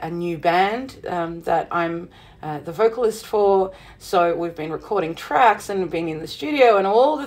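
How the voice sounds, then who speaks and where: A middle-aged woman with a slightly husky voice, chatting casually to viewers on her livestream from a cottage room, bubbly and full of fillers. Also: medium pitch, darkish tone. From Southern Hemisphere. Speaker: witchcraft livestream host. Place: witchy cottage room indoors.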